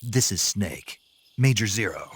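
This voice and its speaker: An actor playing a gruff, terse American special operative in a video game, with an intense, gravelly delivery. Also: male voice, lowish voice, lively intonation, measured pace. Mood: frustrated. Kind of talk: dramatic video game acting. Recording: clear night insect noise behind.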